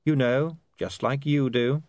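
A man voicing a defiant young newspaperman with sharp, quick comebacks. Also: medium pitch, steady tone, no echo, studio quality, voice pushed up, lightly acted.

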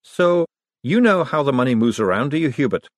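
A man voicing a sharp, dry-witted young woman who speaks crisply and sardonically. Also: mid-range voice, big pitch swings, fairly quick, no echo, pitched above the performer's own voice, close to an everyday voice. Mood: wry.

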